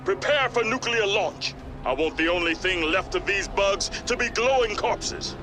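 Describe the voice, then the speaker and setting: Military commander: hard, contemptuous orders, raised pitch, music behind. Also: male voice, animated, normal speed. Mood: aggressive. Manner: forceful, contemptuous. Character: aggressive human military general barking orders. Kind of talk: video game voice acting.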